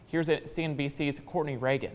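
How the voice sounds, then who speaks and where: A man's voice: a little high, steady tone, brisk, long reverb tail, slight background noise. A man with an American accent reading scripted news as a television anchor. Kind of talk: scripted newscast delivery.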